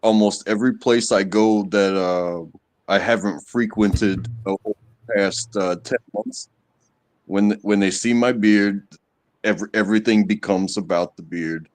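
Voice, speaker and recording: A man chatting casually on a live stream, speaking haltingly with many fillers and restarts. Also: lowish voice, steady tone. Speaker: stream guest. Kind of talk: casual chat.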